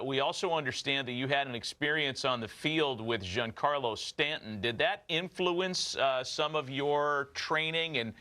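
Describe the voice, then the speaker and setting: A man's voice: medium pitch, pushed and bright. A man hosting a sports broadcast, introducing and interviewing a guest. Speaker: sports show host.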